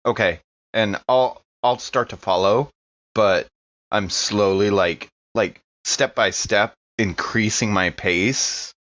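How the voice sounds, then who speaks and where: A man's voice: fairly low pitch, slow, a bit bright. A man casually narrating his character's actions in a tabletop roleplaying game, piecing them together hesitantly. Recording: slightly dull, lo-fi sound.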